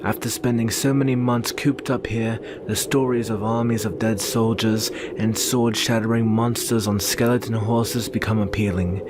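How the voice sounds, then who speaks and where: A man playing a brooding, protective young northern warrior and devoted lover in an earnest, solemn ASMR roleplay with measured pauses. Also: fairly low pitch, fairly even intonation, lightly acted. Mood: grim, warning. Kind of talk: ASMR roleplay, intimate slow dramatic monologue. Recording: very noisy ambient background.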